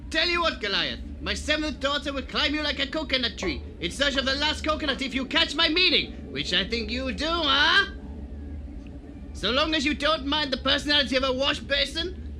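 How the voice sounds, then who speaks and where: A jovial baron who brags and banters his way through an audio drama. His voice is very high and piercingly bright, with quirky foreign-style broken phrasing. A faint noise sits behind him. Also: expressive, moderate pace, exaggerated voice. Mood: effusive, teasing. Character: jovial, boastful male baron running a beach paradise.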